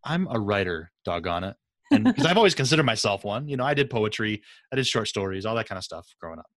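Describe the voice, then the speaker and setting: A man's voice: on the low side, big pitch swings, fast talker, fairly bright tone, no room sound, clean studio sound. A man hosting a podcast, chatting casually and telling anecdotes with emphatic, repeated phrases. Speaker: podcast host, interviewer.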